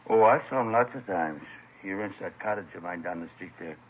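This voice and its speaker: A man's voice: low voice, brisk, narrow, tinny audio. A man playing a laconic, folksy American landlord in a 1950s radio drama, answering in short offhand phrases. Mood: offhand, helpful. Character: folksy, incurious longtime local landlord, helpful but laconic. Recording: noisy, thin vintage radio recording.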